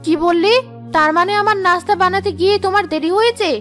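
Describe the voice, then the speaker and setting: A woman playing a hot-headed young village wife and mother in a cartoon drama, sharp and accusing, firing off rhetorical questions. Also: very high-pitched, quick pace, soft background music. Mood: scolding. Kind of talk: Bengali cartoon drama acting.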